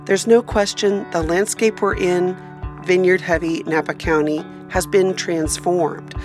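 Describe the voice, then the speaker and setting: A woman's voice: fairly low pitch, fairly even intonation, unhurried, over music. A woman radio reporter reading scripted narration for a feature story.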